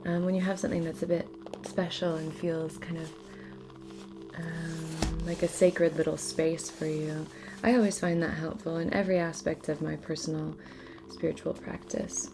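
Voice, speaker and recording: Female spiritual host, lowish voice, gentle and near-whispering, casual guided-ritual delivery with music clearly underneath. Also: level tone, normal speed. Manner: soft-spoken, often slipping into near-whisper.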